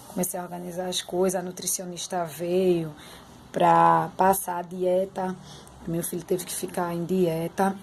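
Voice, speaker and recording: Hoarse, heartfelt woman talking to viewers. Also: fairly low pitch, a little hiss or hum. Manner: heartfelt, chatty, addresses viewers directly. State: slightly hoarse. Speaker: vlogger. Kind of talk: emotional personal vlog.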